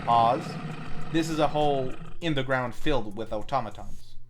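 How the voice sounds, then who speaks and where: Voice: mid-range voice, normal speed. A film review host narrating a scripted plot recap with tongue-in-cheek asides. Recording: music under the voice.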